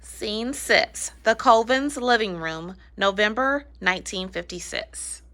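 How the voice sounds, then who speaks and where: A woman reads scene headings from a play script. Her voice is mid-pitched, bright and forceful. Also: lively intonation, slow. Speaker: readers theater narrator.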